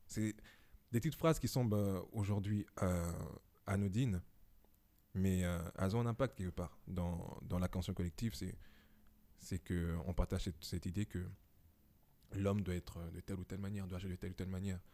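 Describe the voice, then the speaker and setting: A man in his twenties, a podcast guest talking casually and reflectively at home, pausing often with fillers. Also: low-pitched, fairly even intonation, warm tone. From Belgium. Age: 20s. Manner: reflective, many hesitations.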